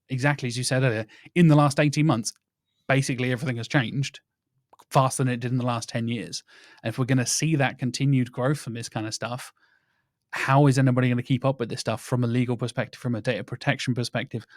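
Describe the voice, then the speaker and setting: A man hosting a podcast, talking in a casual, animated and rambling way and acting out little quoted exchanges. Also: mid-range voice, no room sound, studio quality. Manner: animated, rambling, quotes others in mock voices. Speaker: podcast host.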